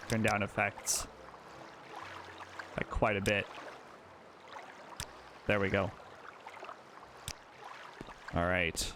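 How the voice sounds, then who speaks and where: A man's voice: lowish voice, steady tone, drawn-out words, long pauses, background noise. A man hosting a let's play, chatting casually and self-deprecatingly as he plays. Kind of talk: casual gameplay commentary.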